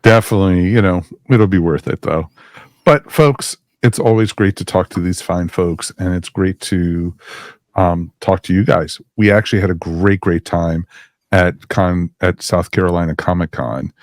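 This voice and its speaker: Male podcast host, very deep voice, dry close mic, loose rambling delivery. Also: steady tone, unhurried, no echo. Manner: rambling, many fillers. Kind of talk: casual podcast chat.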